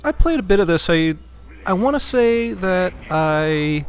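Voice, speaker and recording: A man's voice: sits high, expressive, a little slow. A man joining a let's play as co-commentator, chatting easily and hesitantly, telling game stories with plenty of fillers. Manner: easygoing, hesitant, storytelling with fillers. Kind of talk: casual gameplay chat.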